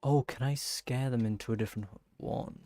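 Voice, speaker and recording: A man's voice: mid-range voice, steady tone, normal speed, mellow, dry recording. A man giving casual live gameplay commentary, thinking aloud and exclaiming to himself as he plays. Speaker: gaming streamer playing and commenting.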